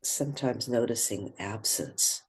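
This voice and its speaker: Slow, breathy meditation guide. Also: mid-range voice. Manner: gentle, unhurried, pausing between short phrases.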